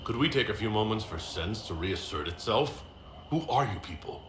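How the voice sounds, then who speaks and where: A man playing a serious, sceptical hero in a video game, speaking in a formal, measured and dry manner. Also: on the low side, animated, close to an everyday voice. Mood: sceptical, composed. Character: composed, serious male hero leading an order, sceptical. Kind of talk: video game dialogue acting. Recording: roomy echo, faint background noise.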